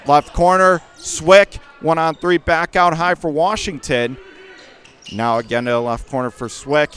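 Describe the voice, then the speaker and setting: A man doing live radio play-by-play of a basketball game in clipped running phrases, with excitable calls. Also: fairly high pitch, a little slow, smooth. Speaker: play-by-play commentator.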